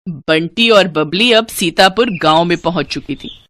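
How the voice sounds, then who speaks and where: A woman's voice: fairly low pitch, a little slow, fairly bright tone, dry recording. A woman storyteller reading a scripted moral tale in narration style.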